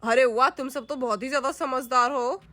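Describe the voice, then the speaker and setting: एक महिला एक बंदूकधारी पुरुष डाकू की आवाज़ निकालती है, भारी और धमकी भरे, चिढ़ाने वाले अंदाज़ में।. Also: female voice, high voice, steady tone, moderate pace, pushed and bright, dry recording, pitched below the performer's own voice. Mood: menacing. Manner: gruff, threatening, mocking. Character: menacing male dacoit kidnapper with a gun.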